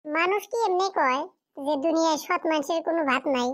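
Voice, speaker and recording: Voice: very high voice, a little fast, fairly bright tone. A performer voicing a poor, fatherless schoolboy in a cartoon drama, pleading and plaintive in a rural Bengali dialect. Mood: aggrieved.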